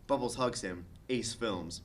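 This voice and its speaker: A man's voice: on the low side, a little slow. A man reading stage directions as the narrator of a comedic script table read.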